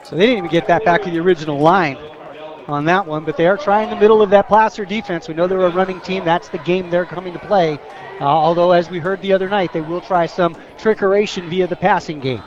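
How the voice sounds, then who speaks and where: An American man with a somewhat high voice gives conversational color commentary on live football. The audio is slightly dull. A stadium crowd is clearly heard behind him. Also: steady tone, quick pace. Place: stadium press booth.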